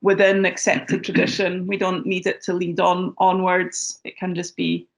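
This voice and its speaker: A Scottish woman with a gravelly, creaky edge to her voice talks through her ideas slowly and thoughtfully. She pauses with ums and restarts her sentences as she works out what she means. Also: fairly low pitch, monotone. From Scotland. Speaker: guest musician. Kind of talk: interview conversation.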